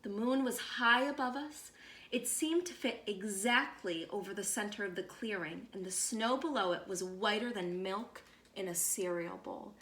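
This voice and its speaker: A woman reading a children's picture book aloud as a storyteller, expressive and measured, with deliberate pauses. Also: mid-range voice, reverberant.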